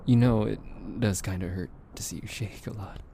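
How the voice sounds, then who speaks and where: A young man in a soft ASMR roleplay, gently soothing and teasing the listener with soft laughs, hesitant fillers and the odd whispered aside. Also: lowish voice, moderate pace, vocal fry, slight background noise.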